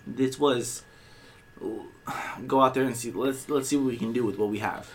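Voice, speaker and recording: A man co-hosting a casual podcast, bantering playfully, with a slight tickle in his throat that keeps making him clear it. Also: medium pitch, steady tone, a little slow. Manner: playful, boastful self-introductions. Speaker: podcast co-host.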